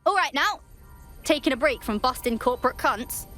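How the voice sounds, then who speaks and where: An actress playing a brash, defiant resistance fighter in a game, blunt and challenging. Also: female voice, high-pitched, expressive, normal speed, a bit bright, soft background music. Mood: teasing. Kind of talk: game cutscene acting.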